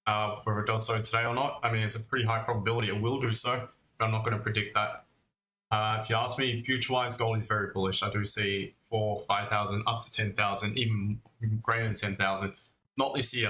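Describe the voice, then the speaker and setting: Bright-voiced man livestreaming in a roomy space. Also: fairly low pitch, fairly even intonation, fast. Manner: conversational, addressing viewers as mate and guys. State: recovering from cough and lost voice. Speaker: host of a live trading stream. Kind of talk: casual livestream market commentary. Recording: roomy echo, faint music.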